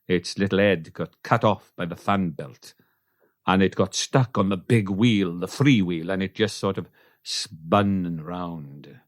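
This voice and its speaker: Awkward Welsh farmer, played by a man, low and plain-spoken, dryly recorded radio comedy. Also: slow, dry recording, clean studio sound. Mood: hesitant, subdued. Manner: hesitant, matter-of-fact. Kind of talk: comic radio play acting.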